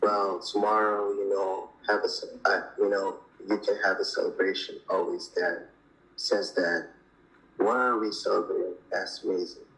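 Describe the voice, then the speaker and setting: Lowish, even-toned man reading aloud. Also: unhurried, creaky, low-quality recording. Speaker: reader of a children's picture book. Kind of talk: read-aloud storytelling.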